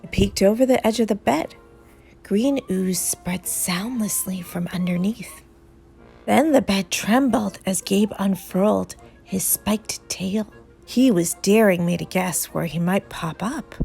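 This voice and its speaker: Slow, creaky-voiced woman storyteller. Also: medium pitch, mellow. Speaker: story reader, channel host. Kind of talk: expressive children's storybook narration. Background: music and sound effects.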